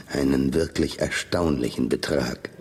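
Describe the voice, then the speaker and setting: Deep-voiced, coolly sardonic scheming narrator. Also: male voice, slow, background noise. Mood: wry, self-satisfied. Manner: dry, matter-of-fact, sardonic. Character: cold, calculating businessman recounting his scheme. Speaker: narrator and lead character. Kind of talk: first-person radio drama narration.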